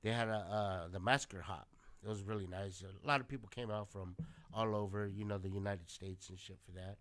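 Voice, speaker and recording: A congested man talks in a loose, laid-back way, dropping swear words as he tells stories. His voice has a bright, forward edge. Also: fairly low pitch, fairly even intonation, brisk. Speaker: podcast co-host. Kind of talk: casual chat.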